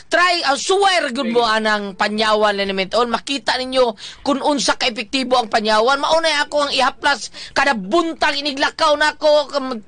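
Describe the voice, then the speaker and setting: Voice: high-pitched, sharp tone, no echo. A radio host with a Cebuano accent giving a rambling, emphatic ad-libbed product endorsement.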